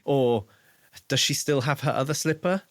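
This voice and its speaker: A man co-hosting a podcast, discussing a book casually in a measured, musing way. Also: medium pitch, dry recording, studio quality.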